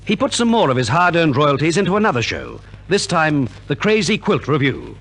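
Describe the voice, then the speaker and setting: Lively, higher-voiced male radio narrator. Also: fairly quick. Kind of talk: scripted 1950s radio narration. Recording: vintage 1950s radio transcription.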